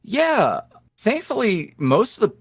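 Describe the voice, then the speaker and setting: Animated young man, lively candid talk. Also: slow, vocal fry, slightly rough, dry recording, clean studio sound. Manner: candid, animated, jumps up in pitch when quoting others. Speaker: guest, ASMR roleplay creator. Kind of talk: casual interview chat.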